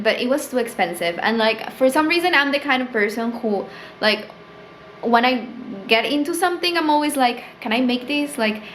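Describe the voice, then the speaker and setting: Casting: young Peruvian woman, native Spanish speaker, slightly high voice, casual talky vlogger, faint room hiss. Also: fairly bright tone. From Peru. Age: young adult. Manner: chatty, fills with "like" and "um", rises for quoted thoughts. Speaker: small business owner vlogger. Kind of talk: casual chat to camera. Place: small indoor room with tea stock shelf.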